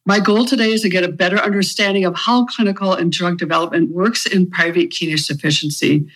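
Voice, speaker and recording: A woman hosting an interview podcast, asking her prepared questions in a measured, polite way. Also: fairly low pitch, steady tone, poor audio. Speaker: podcast host, community advocate.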